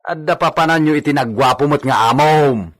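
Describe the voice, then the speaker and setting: An actor playing a simple, obedient stable servant in a comic radio drama, deferential and homely. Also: male voice, fairly high pitch, brisk, a little hiss or hum, natural voice. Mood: chatty, fond.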